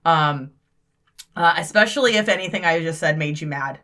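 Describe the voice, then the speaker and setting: A woman in her thirties co-hosting a podcast, chatting in a blunt, brash way with plenty of swearing and quick laughs. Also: low-pitched, pushed and bright. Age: 30s. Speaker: podcast co-host. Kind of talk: casual chat.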